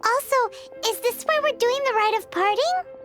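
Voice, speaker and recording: A woman's voice: very high-pitched, normal speed, music in the background. A woman voicing a small, chirpy, cheeky childlike fairy companion in a video game. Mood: puzzled, wary. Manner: excitable, refers to self in third person. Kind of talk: video game cutscene voice acting.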